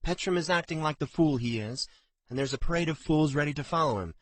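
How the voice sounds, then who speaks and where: A man's voice: on the high side, fast talker, no room sound, voice pushed up, lightly acted. A man voicing a stern female innkeeper who scolds in a bossy, commanding way. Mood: scolding.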